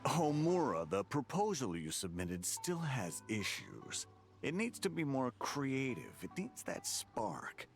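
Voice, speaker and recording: A man's voice: medium pitch, very animated, slow. A man playing a demanding, dismissive office boss in a game, talking in a pushy, condescending way over background music. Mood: scolding. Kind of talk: game voice acting.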